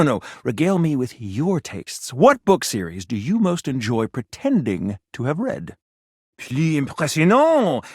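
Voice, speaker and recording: Snooty writer dandy for a game, pompous wordy delivery, super swoopy pitch. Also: male voice, no room sound, clean studio sound. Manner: pompous, ornate diction. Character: pretentious, flowery, intellectual male writer and dandy. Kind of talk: video game character dialogue.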